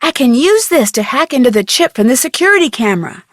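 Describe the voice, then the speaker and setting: A woman's voice: high voice, big pitch swings, fast talker, dry recording, clean studio sound. A woman voicing a curious, plucky young female detective in a video game, musing aloud expressively.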